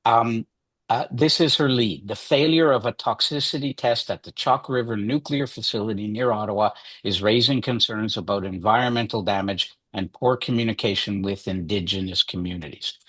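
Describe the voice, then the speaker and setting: Male workshop presenter, slightly husky, dry close sound, chatty teacher with lots of "you know" and "right?". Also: lowish voice, normal speed, a bit bright, no echo. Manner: chatty, many fillers and restarts, asks "right?".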